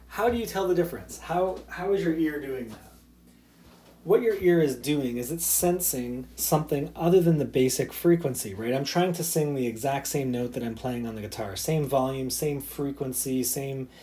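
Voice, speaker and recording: A man teaching a physics lesson in a recorded slide talk. He keeps it loose and chatty, full of ums and small restarts. His voice sits at an ordinary middle pitch. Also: normal speed, mellow. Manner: casual, loose explaining with many fillers. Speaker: high school physics teacher.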